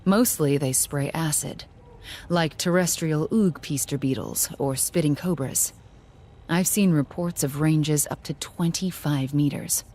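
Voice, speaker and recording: An actress playing a curious, blunt scientist in a video game, speaking in an analytical, matter-of-fact way. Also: female voice, low-pitched, warm tone, breathy edge, heavily acted. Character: curious, blunt female scientist studying alien creatures. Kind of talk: scripted video game dialogue.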